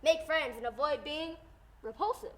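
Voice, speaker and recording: Youngster acting as high-voiced old-time radio host. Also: normal speed, pushed and bright. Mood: folksy, announcing. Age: young teenager. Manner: theatrical, declamatory, folksy. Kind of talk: scripted 1940s radio show acting. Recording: faint background noise.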